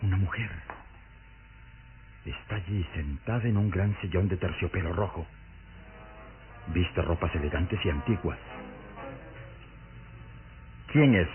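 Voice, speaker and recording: An actor playing an earnest, suspicious police inspector in a radio drama, questioning dramatically and with nervous resolve. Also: male voice, low-pitched. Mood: hushed astonishment. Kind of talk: old Latin American radio drama acting. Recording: muffled old radio recording with music.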